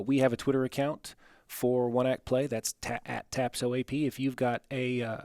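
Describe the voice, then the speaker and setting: A man's voice: medium pitch, a little mellow, dry recording. Guy moderating a webinar, dry close sound, matter-of-fact, going through housekeeping stuff. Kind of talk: housekeeping announcements to webinar attendees. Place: office, online webinar.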